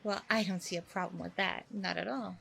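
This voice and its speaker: A woman playing a chatty, self-assured dating coach in an ASMR roleplay, rambling and reassuring with a creaky voice. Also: lowish voice, steady tone, a little fast, a bit bright. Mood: encouraging. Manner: rambling, hesitant asides, reassuring. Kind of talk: ASMR roleplay, one-sided conversation with the listener.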